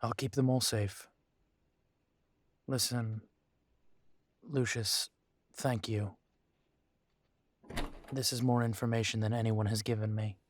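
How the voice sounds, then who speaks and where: Man playing slow-talking, earnest werewolf alpha. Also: mid-range voice, level tone, natural voice. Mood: grateful, earnest. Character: earnest, protective young male werewolf pack alpha. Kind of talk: audio roleplay acting.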